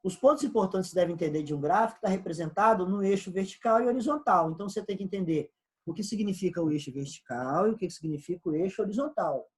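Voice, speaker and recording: A man's voice: high voice, fast. A man with a Brazilian Portuguese accent teaching an economics lesson, explaining step by step and repeating key points. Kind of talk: recorded online class lecture.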